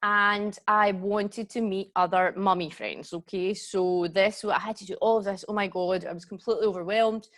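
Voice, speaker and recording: A woman's voice: medium pitch, steady tone, normal speed, few pauses, a bit bright. Glasgow lady in her 40s running a zoom yoga workshop, chatty, keeps saying okay and guys, computer mic. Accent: Glaswegian. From Scotland. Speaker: yoga teacher and workshop host. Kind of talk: live online workshop talk. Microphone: computer mic on a video call.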